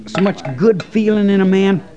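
An actor playing a loyal, plain-spoken young American cavalry trooper with folksy rural speech, in an old radio western with dull sound. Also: male voice, sits high, steady tone, normal speed, warm tone, close to an everyday voice. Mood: admiring, thoughtful.

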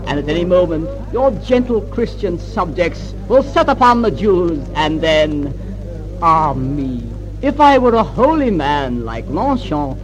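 An actor playing a sly, sardonic prince who taunts in a theatrical way in an old-time radio historical drama. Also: male voice, fairly high pitch, sing-song, unhurried, music in the background, poor audio. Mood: bitterly ironic. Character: sly, mocking prince, younger brother of the king. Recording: vintage 1940s-50s radio recording.

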